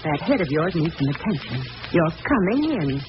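A woman's voice: low-pitched, big pitch swings, normal speed. An actress playing a detective's refined, devoted lady companion in a 1940s radio drama, solicitous and insistent. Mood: fond, insistent. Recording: noisy, muffled old radio recording.

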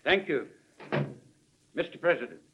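An actor playing an earnest, indignant American government official in an old-time radio drama, formal and oratorical in delivery. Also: male voice, on the high side, lively intonation, measured pace, a crowd in the background. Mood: polite. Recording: dull vintage radio recording.

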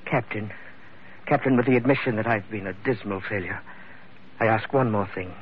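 An actor playing a scheming, theatrical gentleman rogue who speaks in a pompous, unctuous, flowery way in an old radio drama. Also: fairly low pitch, normal speed, lightly acted. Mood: pleading. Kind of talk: 1950s radio drama acting. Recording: muffled old radio recording, faint noise.

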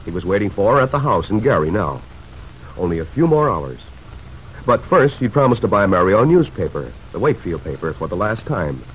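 A man's voice: lowish voice, sing-song, a little fast, breathy edge. A man narrating an old radio serial in scripted storytelling style. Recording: dull, noisy old radio recording.

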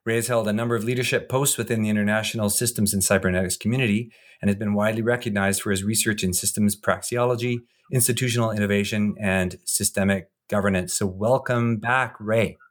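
A man co-hosting an interview podcast, introducing and questioning guests in an informal, somewhat halting and wordy way. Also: lowish voice, fairly even intonation.

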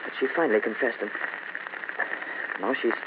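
A man's voice: medium pitch, natural voice. Guy acting worried earnest young husband, stumbles over words, old radio play, staticky and tinny. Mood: earnest, explaining. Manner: halting, earnest. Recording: noisy narrowband vintage radio recording.

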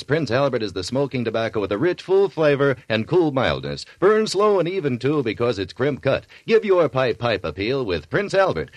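Dry-sounding American radio ad man, mid-pitched. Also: no echo. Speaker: commercial announcer. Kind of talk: 1940s radio advertisement, scripted.

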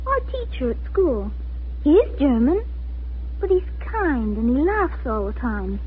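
Very high-voiced earnest young girl. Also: big pitch swings, unhurried. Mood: troubled, confused. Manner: childlike, earnest, emotional. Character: earnest, impressionable eleven-year-old farm girl. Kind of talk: 1940s radio acting. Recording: scratchy, tinny 1940s radio recording.

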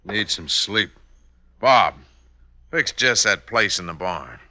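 A gruff older outlaw brother from a classic radio western. His American voice is deep and very hard-edged, with folksy, clipped lines and a cool, scheming temper. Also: animated, slow pace, bright, forceful tone, dry recording, heavily acted. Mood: folksy, hearty. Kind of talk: old-time radio western acting.

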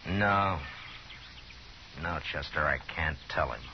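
An American actor playing a steady, world-weary frontier lawman in a 1950s radio Western, measured, grave and laconic. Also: very deep voice, slight background noise, exaggerated voice. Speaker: lead actor and narrator. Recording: muffled old 1950s radio recording.

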